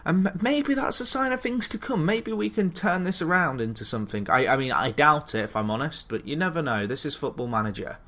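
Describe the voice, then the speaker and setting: A man's voice: fairly high pitch, big pitch swings, a little fast, few pauses, sharp tone. A man hosting a gaming video with casual, chatty commentary on a football management game, hesitating and thinking aloud as he goes.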